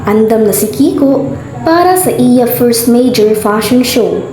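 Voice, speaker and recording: A woman's voice: a little high, expressive, unhurried. A woman with a Filipino accent reading scripted narration for a radio drama in a very echoey recording. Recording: very echoey room, music underneath.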